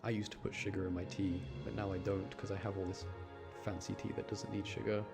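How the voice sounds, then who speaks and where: A young man with a British accent and a low voice talks casually to his livestream chat while gaming. He rambles along and tends to repeat himself, with game music clearly playing behind him. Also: flat delivery, a little fast. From United Kingdom. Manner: rambling, repeats words and phrases. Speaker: game streamer.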